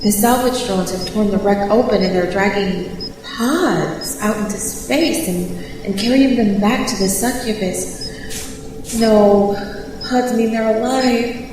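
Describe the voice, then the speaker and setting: Heavy echo and long reverb surround an actress as a stranded female space reporter, heard from off the mic over a noisy ambience. She speaks slowly and haltingly, proud yet frightened, in the manner of a sci-fi radio play. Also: medium pitch, distant mic, lightly acted. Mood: horrified, anxious. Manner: halting, breathy delivery with broken, repeated phrases. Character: stranded female news reporter, proud but terrified, facing death. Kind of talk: audio drama monologue, sci-fi radio play. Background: clear ambient noise.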